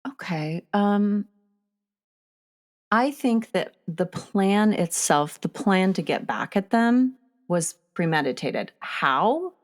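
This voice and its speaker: A woman talking casually about film as a podcast host, enthusiastic and halting in a conversational way. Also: medium pitch, animated.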